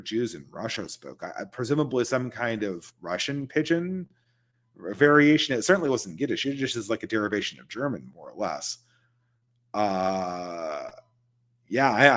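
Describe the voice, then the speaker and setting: A man hosting a casual livestream, answering viewer questions in loose, conversational talk and chuckling between points. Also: mid-range voice.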